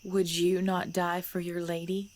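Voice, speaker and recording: A woman playing an ancient, composed vampire in a supernatural ASMR drama, speaking in measured, formal, deliberate phrases. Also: fairly low pitch, natural voice. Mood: solemn, wistful. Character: ancient female vampire, composed, knowing, darkly playful. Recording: steady background noise with chirping crickets.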